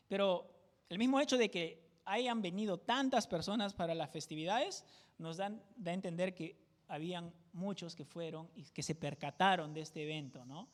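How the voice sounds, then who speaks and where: A man's voice: high voice, big-room sound. A man from Peru with a Peruvian jungle accent, teaching a verse-by-verse Bible study in a conversational way and often checking in with his listeners. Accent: Peruvian jungle (selva) Spanish. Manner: conversational, keeps checking in with tag questions.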